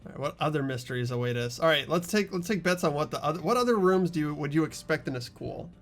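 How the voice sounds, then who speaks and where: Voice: medium pitch, expressive, quick pace. A playthrough host chatting casually with friends and reading game text aloud.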